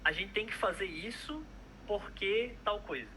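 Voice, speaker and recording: A man's voice: high-pitched, a little slow, bright, forceful tone, a little hiss or hum. A young man, a product manager chatting casually in an interview, hesitant with many fillers and restarts.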